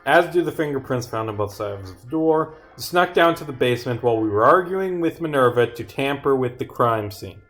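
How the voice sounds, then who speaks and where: A man's voice: mid-range voice, lively intonation, a little fast, few pauses, a bit bright, natural voice. A stern detective from a visual novel, read out by a man, laying out evidence in pointed, declarative lines. The delivery is accusing, with faint music underneath. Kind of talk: reading visual novel dialogue aloud.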